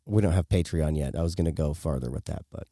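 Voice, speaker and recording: A man's voice: rumbling low, quick pace, warm tone, no room sound, studio quality. A man co-hosting a podcast, chatting casually and speaking directly to the listeners. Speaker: podcast co-host.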